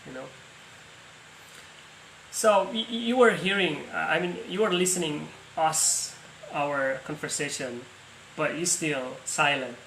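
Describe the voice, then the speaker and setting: Guy chatting online, bright voice, stumbles over phrases, bit of background noise. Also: a little high, measured pace, creaky. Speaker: online voice chat participant. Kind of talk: casual chat. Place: online voice chat. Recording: echoey room, faint noise.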